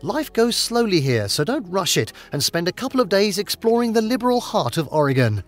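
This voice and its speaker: Lively high-voiced male travel narrator. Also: a little fast, soft background music. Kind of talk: scripted narration.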